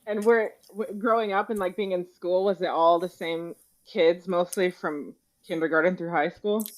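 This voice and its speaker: A woman's voice: lowish voice, moderate pace, poor audio. A woman hosting a podcast, interviewing a guest in a conversational style.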